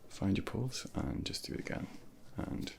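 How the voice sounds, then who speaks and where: A man softly whispering a medical ASMR role play as a junior doctor, gently reassuring and instructing, close to a binaural microphone. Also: normal speed, slight background noise. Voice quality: breathy whispering, gravelly.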